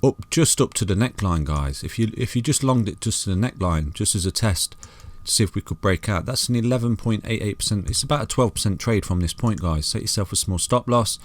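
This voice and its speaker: A man's voice: lowish voice, expressive, a little fast, hardly any pauses. A man with a soothing voice walks through crypto charts in a casual, chatty way, calling listeners "guys" and "mate" and restarting phrases often.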